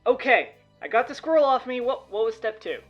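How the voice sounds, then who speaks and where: A woman playing an excitable, dim-witted teenage boy at school, eager and prone to stammering. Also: a little high, fairly quick, muffled recording, soft background music. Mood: frantic. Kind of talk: comedic audio drama acting.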